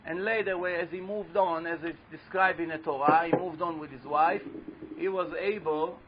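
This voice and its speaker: A man with a loud voice giving a religious talk to a live audience, telling a story and putting questions to the listeners. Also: a little high, fairly even intonation, normal speed, a loud crowd. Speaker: religious teacher speaking to an audience. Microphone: handheld or stand microphone. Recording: dull lo-fi live recording.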